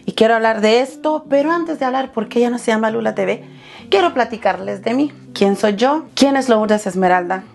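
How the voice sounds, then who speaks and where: A woman's voice: on the high side, brisk, gravelly, darkish tone, faint music behind. A Salvadoran woman in her thirties, hosting her channel and telling her life story in a heartfelt, personal way, calling her viewers "chulis". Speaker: channel host.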